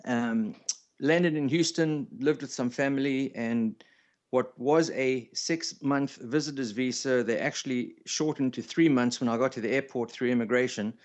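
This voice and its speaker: A man's voice: mid-range voice, moderate pace, a little mellow. A man in his fifties with a South African accent, telling his story casually and good-humouredly as a radio guest. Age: 50s. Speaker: radio show guest, entrepreneur. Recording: slightly dull remote radio connection.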